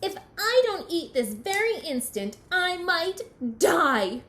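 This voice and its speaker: A woman's voice: sits high, animated, takes it slowly, pushed and bright, exaggerated voice. A woman voicing a cranky, dramatic, hungry young male bird in a whiny, demanding way for a children's storybook. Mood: whining, desperate. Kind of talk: storybook read-aloud for preschoolers.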